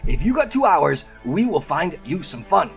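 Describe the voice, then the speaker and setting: A man's voice: on the high side, big pitch swings, normal speed, gravelly, soft background music. A man hosting a channel, reading aloud and tossing in casual asides in a playful, theatrical way. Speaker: channel host.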